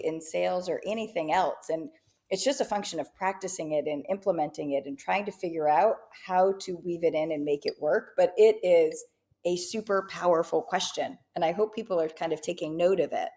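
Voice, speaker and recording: A woman's voice: lowish voice, normal speed, a little mellow. A woman co-hosting a business podcast, talking in an upbeat casual way and often checking in with "right?". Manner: upbeat, tags many points with "right?". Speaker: podcast co-host.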